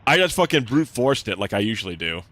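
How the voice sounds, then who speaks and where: A man's voice: very animated, fast, fairly bright tone. A young man streaming a game, chatting casually with his viewers; he has a slight lisp and talks in a laid-back, swear-sprinkled way. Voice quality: relatively deep voice. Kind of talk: casual live-stream chat while gaming.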